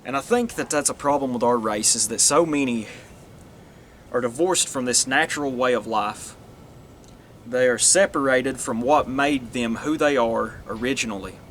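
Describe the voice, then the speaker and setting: A man's voice: mid-range voice, normal speed, fairly bright tone, a little hiss or hum. A man from Northeast Tennessee, talking earnestly in a rambling monologue that restarts and trails off. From Northeast Tennessee, USA. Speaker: vlogger. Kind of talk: casual monologue to camera.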